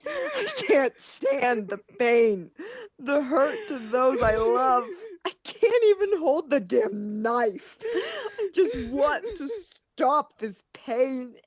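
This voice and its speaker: An actress playing an anguished, tearful teenage sister in a radio play, speaking in halting, dramatic short phrases. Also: female voice, sits high, very animated, husky, no room sound, full character voice. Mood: sobbing, despairing. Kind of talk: radio play acting, intense monologue.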